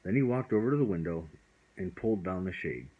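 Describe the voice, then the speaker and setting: A father narrating a bedtime story in a gentle, loving, unhurried way. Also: deep voice, animated, slightly rough, narrow, tinny audio.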